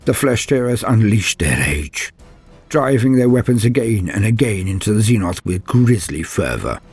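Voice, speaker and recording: Guy doing dramatic war story narration, grave and husky, big swings in pitch, music playing behind him. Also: big pitch swings, normal speed, a little mellow. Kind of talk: dramatic scripted narration of science fiction war lore.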